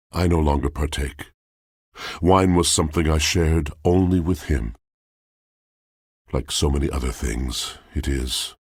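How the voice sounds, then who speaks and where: An extremely deep-voiced, wounded romantic from a video game, speaking slowly in grandiose, florid verse. The voice is gravelly and husky, solemn and theatrical throughout. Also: male voice, dry recording, studio quality, full character voice. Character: brooding, lovelorn poetic washing machine, grandiose and wounded. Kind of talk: video game character acting.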